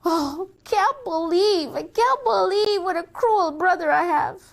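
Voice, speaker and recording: A woman voicing a dramatic, whiny big sister who complains in drawn-out exasperation, in a children's story. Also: high-pitched, sing-song, a bit bright, full character voice. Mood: sad, sulky. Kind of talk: acted children's story dialogue.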